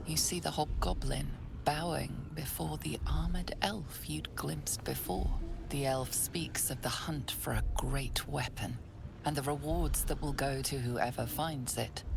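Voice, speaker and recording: A solemn fantasy game storyteller. Her narration is measured and ominous, in an extremely deep, heavily creaky voice at a slow pace. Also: exaggerated voice. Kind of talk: scripted second-person game narration.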